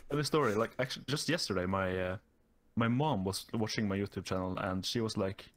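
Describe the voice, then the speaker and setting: Young Norwegian guy, fairly low voice, halting and casual, plenty of fillers, speaking into a desktop mic on a call. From Norway. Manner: hesitant, many fillers and restarts. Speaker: guest YouTuber. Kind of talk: casual interview chat.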